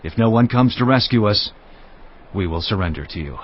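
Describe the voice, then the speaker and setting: A man's voice: lowish voice, brisk. An actor playing an anxious townsman in a dramatized audio Bible, speaking emphatically. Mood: anxious pleading. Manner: animated, emphatic. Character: anxious, astonished townsman and pleading elder. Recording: slightly muffled.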